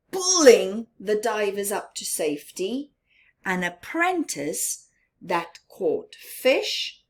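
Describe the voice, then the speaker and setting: A woman storyteller reading a picture book to children expressively, with dramatic emphasis, drawn-out words and hushed, chatty asides. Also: medium pitch.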